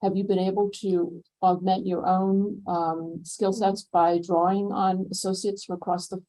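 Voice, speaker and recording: A woman moderating a panel in a conference room, summing up her guests' points in a measured way before asking questions. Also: medium pitch, flat delivery, warm tone, poor audio. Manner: measured, sums up what others said.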